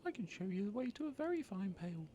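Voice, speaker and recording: A man's voice: sits high, sing-song, fast, mellow, voice pushed up. A man voicing an eager, helpful little snail in a storybook, with faint noise behind.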